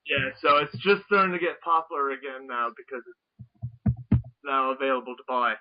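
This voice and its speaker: A man interviewing casually, phrasing his questions in a halting, tentative way. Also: medium pitch, steady tone. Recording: dull, lo-fi radio-like call audio.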